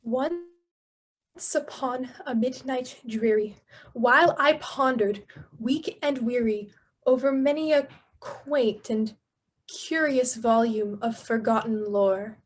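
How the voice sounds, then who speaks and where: A grief-stricken, guilt-haunted poet, acted by a woman, speaks in a high voice at a very slow pace. The delivery is halting and theatrical, made for a radio play full of solemn verse and sorrow. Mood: brooding. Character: grieving, guilt-haunted solitary poet and scholar. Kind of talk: stage radio-play acting, verse recitation.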